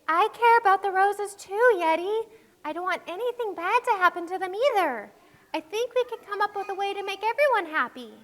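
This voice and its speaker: A woman plays a hopeful, kind puppet girl. The voice is extremely high and bright, with emphatic, expressive delivery. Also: a little fast. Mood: reassuring. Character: earnest, kind, hopeful girl named Betty. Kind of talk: children's puppet show acting.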